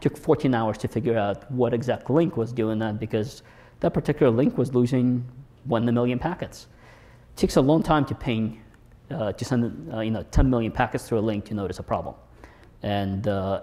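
A man's voice: lowish voice, brisk, warm tone. Casual young man giving a tech talk. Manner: casual and wry, many fillers and restarts. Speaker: conference speaker. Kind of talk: technical conference talk. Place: large conference hall on stage. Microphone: lapel mic.

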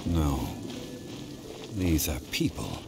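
A man voicing a calm, formal, learned consultant in a fantasy video game, speaking in a measured, deliberate way. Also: extremely low pitch, gravelly, heavy background noise, heavily acted. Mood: composed, firm. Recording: loud music and game sounds behind.

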